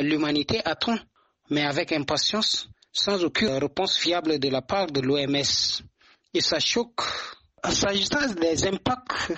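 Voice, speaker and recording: A man from Chad giving his view on a radio program, measured and hesitant with frequent fillers. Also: on the high side, sharp tone, no echo, highs cut off. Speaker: radio commentator.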